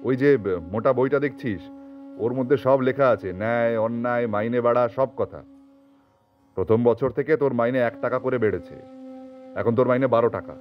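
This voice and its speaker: A man's voice: medium pitch, normal speed, pauses often, soft background music, close to an everyday voice. Man acting a blustering, scheming miserly householder, muffled. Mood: grudgingly appeasing. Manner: exasperated, blustering, colloquial. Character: irritable, scheming middle-class householder, miserly master. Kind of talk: Bengali audio story acting.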